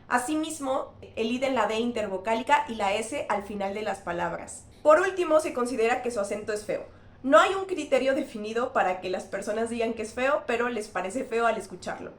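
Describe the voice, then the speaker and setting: A woman linguist hosting a scripted educational explainer, speaking clearly and spelling out examples. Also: on the high side, reverberant. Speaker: linguist and channel host.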